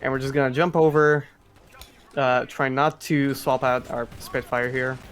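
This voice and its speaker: A man's voice: medium pitch, fairly even intonation, a little slow, fairly bright tone. Man doing casual live game commentary. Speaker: speedrun commentator.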